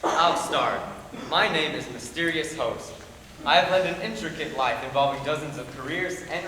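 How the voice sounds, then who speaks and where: A mysterious, eye-patched host, played by a man, speaking slowly and deliberately in a fairly high voice. The hall is very echoey, with clear background noise. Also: lightly acted. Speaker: host of the murder mystery game.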